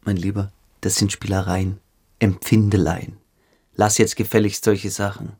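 A man's voice: on the low side, flat delivery, a little slow, darkish tone, breathy edge. A man playing a mocking, condescending cadet bully in a radio drama, with a drawling sneer.

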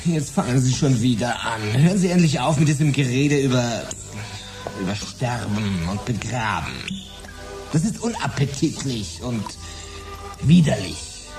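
An actor playing a vain, squeamish image stylist in a radio play, pompous, affected and hesitant. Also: male voice, mid-range voice, lively intonation, unhurried, gravelly. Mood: prissy indignation. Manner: pompous, prissy, hesitant, indignant. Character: vain, squeamish, affected male pop stylist and self-declared artist. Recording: music behind the voice.

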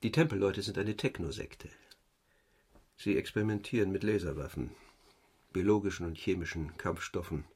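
An actor playing a guarded, reluctant company man in a radio drama, husky-voiced and uneasy in manner. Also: sits low, a little mellow. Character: evasive, reluctant corporate representative under questioning. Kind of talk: German radio drama acting.

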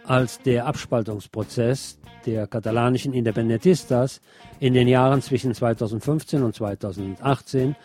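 Man, medium-pitched, soft mellow tone, scripted news narration, sardonic commentary. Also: steady tone, moderate pace, soft background music. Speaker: presenter of a local news programme.

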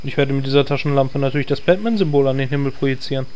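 A man's voice: mid-range voice, rapid-fire, darkish tone, a little hiss or hum, lightly acted. Man acting an exasperated know-it-all adventurer. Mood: self-assured. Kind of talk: comedy audio drama acting.

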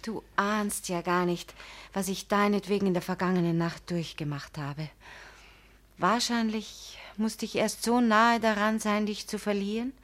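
A woman's voice: medium pitch, lightly acted. A woman playing a proud, passionate young fiancée in a radio drama, speaking emphatically and with dramatic feeling. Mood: pleading, tender. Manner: emphatic, dramatic, swinging from indignant to tender. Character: proud, passionate young fiancée pleading with her pastor beloved. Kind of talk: German radio drama acting.

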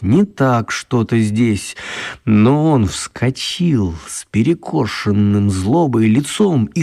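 A male actor as the storyteller of a children's radio play, narrating expressively in a husky voice. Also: on the high side, darkish tone, heavily acted. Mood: dramatic.

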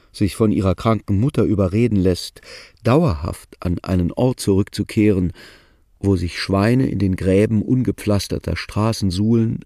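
A man narrating a radio drama in a measured, sober storytelling manner with a dry ironic edge, recorded in a studio. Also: deep voice, mellow, no echo.

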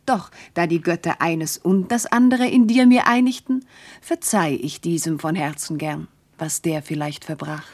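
An actress playing a tender, devoted young noble wife in classical verse, earnest, lyrical and often hushed. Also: female voice, fairly low pitch, normal speed. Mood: gently puzzled. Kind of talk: classical verse radio drama acting.

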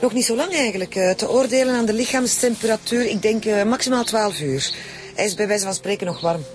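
An actress with a Flemish accent playing a breezy, informal forensic doctor in a naturalistic radio drama. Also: female voice, mid-range voice, brisk, a little hiss or hum, lightly acted. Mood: informative. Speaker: actor. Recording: music behind the voice.

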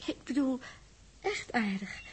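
Fragile, naive young woman in space; high voice, halting, wide-eyed, sixties radio play. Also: sing-song, husky, full character voice. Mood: puzzled, wondering. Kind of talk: 1960s Dutch radio drama acting.

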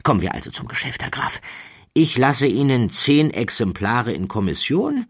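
A man's voice: medium pitch, big pitch swings, moderate pace, breathy edge, pitched above the performer's own voice. A man voicing an eccentric, down-at-heel self-styled baron in an audiobook, theatrical, persuasive and grandly self-assured. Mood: breezy, grand.

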